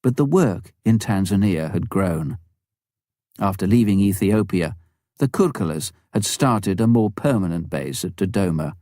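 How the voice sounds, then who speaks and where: A man's voice: sits low, lively intonation, unhurried, warm tone, dry recording, clean studio sound. A man narrating a memoir from a script, as an audiobook reader.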